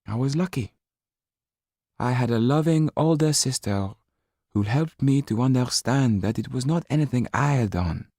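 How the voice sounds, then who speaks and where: A man voicing a kind but firm married gentlewoman in a storybook, with an earnest delivery. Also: mid-range voice, lively intonation, normal speed, no room sound, studio quality, voice pushed up. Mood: tender. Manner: earnest, sometimes sharp, sometimes softly breathy.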